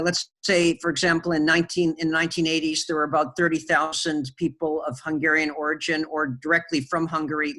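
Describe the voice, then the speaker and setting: A man's voice: fairly high pitch, bright, forceful tone. Man, talking with many fillers. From Canada. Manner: hesitant, many fillers and restarts. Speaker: interview guest, film producer. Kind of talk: conversational interview storytelling.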